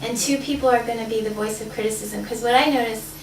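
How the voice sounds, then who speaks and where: Higher-voiced woman leading a workshop. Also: fairly even intonation, fast. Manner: candid, playful, laughs easily. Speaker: workshop facilitator. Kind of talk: leading a group role-play exercise. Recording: roomy, distant, noisy live room.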